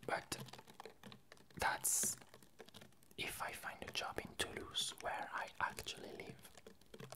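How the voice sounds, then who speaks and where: A young woman with a French accent chatting in whispered ASMR style, hesitant and pausing often. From France. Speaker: ASMR video creator.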